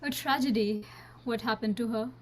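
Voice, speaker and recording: A woman's voice: a little high, moderate pace, close to an everyday voice. A woman playing an earnest, candid young niece of a noble family in a radio play.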